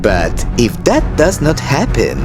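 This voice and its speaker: A man voicing a vain, haughty young royal who speaks in a measured, grand manner. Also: mid-range voice, wildly swooping pitch, music in the background. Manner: self-admiring, measured and grand.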